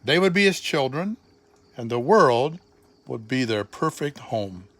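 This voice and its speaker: An older man, a grandfather, reading a children's storybook aloud with dramatic, deliberate, expressive phrasing. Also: mid-range voice, dry recording.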